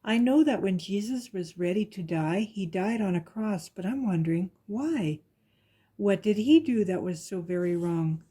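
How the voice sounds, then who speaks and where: A woman reading a rhyming children's picture book aloud with a measured, sing-song delivery. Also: mid-range voice, animated, dark tone, slightly rough. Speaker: guest story reader.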